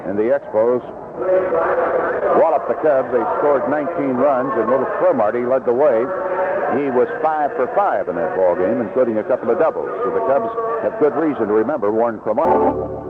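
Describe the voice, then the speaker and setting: A thin, narrow-band vintage radio recording with clear noise carries an American man announcing baseball. He talks at a medium pitch in a conversational 1970s play-by-play style. His delivery is packed with figures and records. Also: brisk. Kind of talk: 1970s radio sports commentary. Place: outdoor ballpark broadcast.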